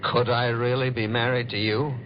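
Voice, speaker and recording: An actor playing a sinister, bitter man who torments a woman with theatrical, deliberate taunts in an old-time radio melodrama. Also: mid-range voice, little pitch movement, dull audio, a little hiss or hum, close to an everyday voice. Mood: bitter, grim.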